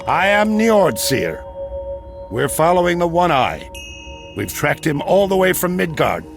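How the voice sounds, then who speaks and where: A man voicing a gruff, grim Norse wanderer in a video game, with a gravelly voice. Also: a little high, very animated, unhurried, heavily acted. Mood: grim, urgent. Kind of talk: scripted game cutscene dialogue. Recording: music clearly behind the voice.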